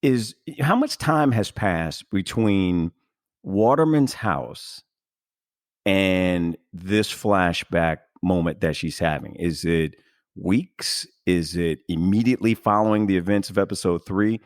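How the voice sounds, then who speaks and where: A man's voice: deep voice, slow, no room sound, clean studio sound. A man hosting a casual podcast interview, talking in an effusive, rambling way. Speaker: podcast host.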